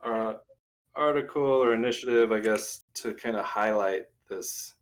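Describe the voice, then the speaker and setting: A man on a group voice call, explaining things casually in a halting way. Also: on the low side, low-quality recording. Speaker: participant in a community livestream. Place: remote voice call.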